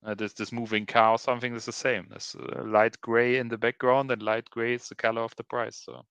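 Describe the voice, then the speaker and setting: Halting man, bright clear voice. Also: mid-range voice, level tone, no echo. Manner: halting, many restarts and ums. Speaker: podcast co-host. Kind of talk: casual chat.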